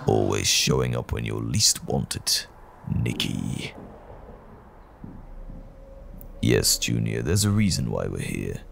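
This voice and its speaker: A man acting a sleazy, sardonic, menacing villainous alter ego in an audio drama, with a gravelly, husky growl and a smug, taunting drawl. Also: very deep voice, expressive, measured pace, fairly bright tone, in a large hall, faint music behind, heavily acted. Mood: mocking.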